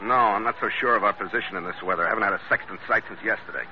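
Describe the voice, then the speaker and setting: An actor playing a tough, principled American sea captain who also narrates a radio adventure, in a terse hard-boiled style. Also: male voice, on the low side, racing, close to an everyday voice. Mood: grim. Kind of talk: old-time radio adventure drama acting and narration. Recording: noisy, muffled old radio recording.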